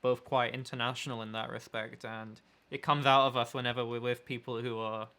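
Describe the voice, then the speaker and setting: Bright-voiced young London man chatting. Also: medium pitch, brisk. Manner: relaxed, chatty, searches for words. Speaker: photographer and vlogger, co-host. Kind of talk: casual Q&A chat with partner.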